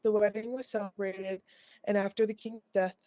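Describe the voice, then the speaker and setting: Mellow woman reading a fairy tale. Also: medium pitch, level tone, moderate pace, dry recording. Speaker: storybook reader. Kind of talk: scripted fairy tale read-aloud. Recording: dull, lo-fi, dry recording.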